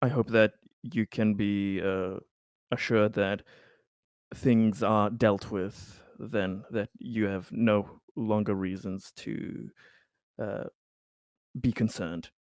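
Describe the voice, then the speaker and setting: A man talking casually as a player in a roleplaying game, hesitant, pausing and repeating words. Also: on the low side, steady tone, darkish tone, dry recording, clean studio sound.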